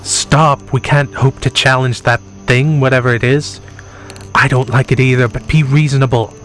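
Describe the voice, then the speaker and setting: An actor playing an enigmatic, cool-headed professor in a horror audio drama, measured and analytical. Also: male voice, mid-range voice, steady tone, a bit bright, close to an everyday voice. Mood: stern. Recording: faint music behind.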